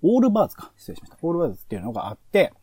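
A man hosts a casual podcast discussion in a very soft-edged, dark tone and at a quick clip. He thinks aloud in a chatty way, with intonation that rises and falls widely. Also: on the high side, wildly swooping pitch, slightly rough, dry recording. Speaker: podcast host. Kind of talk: casual podcast discussion, introducing and reviewing audio shows.

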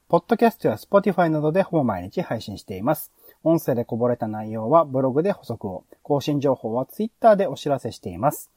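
A man with a mellow, slightly rough voice hosts a casual podcast review. His delivery is chatty and thinks aloud, with swooping intonation, recorded close and dry. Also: a little high, dry recording. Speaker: podcast host.

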